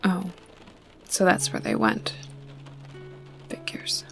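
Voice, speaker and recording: A woman playing a tough, guarded young female survivor in an ASMR roleplay, blunt and sarcastic, with a breathy voice that often drops toward a whisper. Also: fairly low pitch, expressive, slow, soft background music, exaggerated voice. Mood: guarded, probing. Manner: wary, sarcastic, blunt, cursing. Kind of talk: ASMR roleplay, close intimate acting to the listener.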